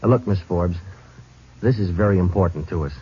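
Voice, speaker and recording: An actor playing a calm, firm police detective in a 1940s radio drama, steady and matter-of-fact. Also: male voice, deep voice, a little fast, husky. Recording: dull 1940s radio recording with faint hiss.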